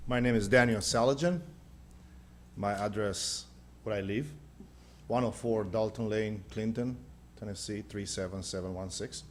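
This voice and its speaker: A man's voice: on the low side, measured pace, long pauses, a little hiss or hum. A middle-aged man with a Romanian accent, a pastor speaking at a county meeting's public hearing, hesitant and searching for words.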